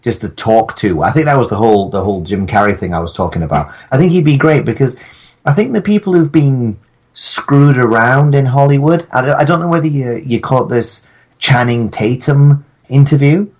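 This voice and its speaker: A man's voice: mid-range voice, expressive, moderate pace, a little mellow. Wry man in casual podcast banter. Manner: wry, joking, rambling.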